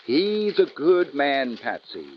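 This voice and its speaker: An actor playing a boozy, genial male sidekick in an old-time radio detective drama, with a drawn-out delivery. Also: fairly high pitch, sing-song, muffled recording, slight background noise. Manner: drawn-out, wildly swooping delivery.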